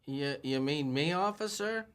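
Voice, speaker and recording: A man's voice: a little high, expressive, unhurried, a bit bright, smooth. An actor playing a bumbling, lovesick young man in an old-time radio comedy, stammering and flustered.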